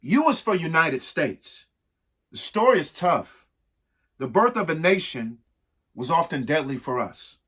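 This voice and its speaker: Animated, unhurried man reading a picture book aloud. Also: a little high, breathy edge. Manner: theatrical, emphatic delivery with dramatic pauses. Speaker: children's book reader. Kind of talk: expressive picture-book read-aloud with short asides to young listeners.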